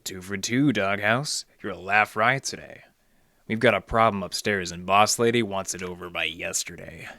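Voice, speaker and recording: An actor playing a wry, hard-boiled library detective in the style of noir radio drama, with deadpan wisecracks. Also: male voice, low voice, moderate pace, vocal fry, no echo, studio quality, exaggerated voice. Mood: dry, businesslike. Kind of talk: 1940s radio detective acting, narration and dialogue.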